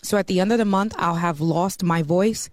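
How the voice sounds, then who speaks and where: A woman's voice: lowish voice, normal speed, a little mellow, no echo. A woman from the East Coast of the US, a mortgage banker talking as a podcast guest in a chatty way, full of "you know.". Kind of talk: casual podcast conversation.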